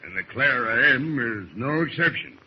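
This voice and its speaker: An actor playing a salty old first mate with folksy nautical talk, in the manner of 1940s radio drama. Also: male voice, medium pitch, expressive, close to an everyday voice. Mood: ominous, storytelling. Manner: folksy nautical talk, slow storytelling with hesitations. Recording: muffled noisy old radio recording.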